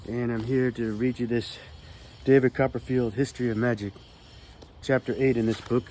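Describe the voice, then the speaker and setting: A man hosting his own channel, reading magic history from a book aloud in a calm, relaxed manner. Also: medium pitch, moderate pace, slight background noise. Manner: calm, relaxed delivery meant to soothe. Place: California.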